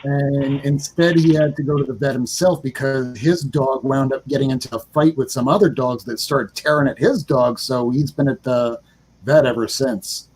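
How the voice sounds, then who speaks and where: A man's voice: medium pitch, steady tone, normal speed, a little mellow, slightly rough, low-quality recording. A man in his forties in casual podcast chat, rambling enthusiastically about comics with mild swearing. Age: 40s. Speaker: podcast co-host.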